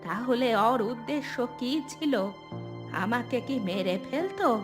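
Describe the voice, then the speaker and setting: A woman's voice: a little high, animated, unhurried, music in the background. A woman playing a worried, fearful village mother in a cartoon, pleading anxiously. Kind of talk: Bengali cartoon voice acting.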